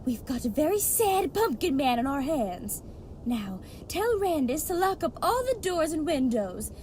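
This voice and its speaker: A woman's voice: high-pitched, very animated, darkish tone, slight background noise. A woman in a comedic audio drama playing a cheerful, tender-hearted but bossy monster hunter with a coaxing, motherly manner. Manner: coaxing, motherly, brisk when giving orders.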